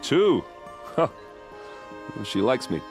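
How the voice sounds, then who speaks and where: A man's voice: mid-range voice, big pitch swings, takes it slowly, faint music behind. A man playing a roguish, affectionate, smitten fellow in a video game, teasing and flirtatious. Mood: pleased. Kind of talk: video game cutscene acting.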